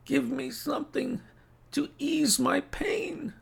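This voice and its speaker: A man voicing a gravely sick man who gasps weakly and pleads haltingly for help in an audiobook story. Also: very high-pitched, pitched above the performer's own voice, full character voice. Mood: suffering, pleading. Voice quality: labored, gasping, whispery.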